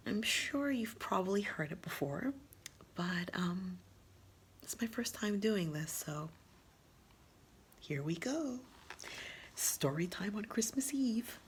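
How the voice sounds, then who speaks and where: A woman with a breathy, husky voice, often near a whisper, reading aloud in a hushed, intimate, unhurried and expressive storytime style. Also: fairly low pitch, slight background noise. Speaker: storyteller reading a holiday poem.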